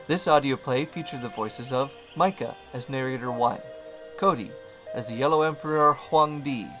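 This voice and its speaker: A man's voice: medium pitch, fairly bright tone. A man reading scripted closing credits as the announcer of an audio play.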